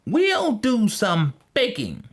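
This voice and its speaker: A storyteller voicing a wicked old witch from a fairy tale, scolding and bossy, with shrill, drawn-out commands. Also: huge pitch swings, slightly rough, full character voice. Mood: sly, impatient.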